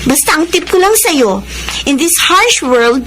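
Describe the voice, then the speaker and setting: A woman's voice: sits high, sing-song, unhurried, noisy background. A woman playing a feisty, protective teenage student in a Filipino radio drama, sassy and self-assured. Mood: encouraging. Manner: sassy, self-assured, mixes English into Tagalog.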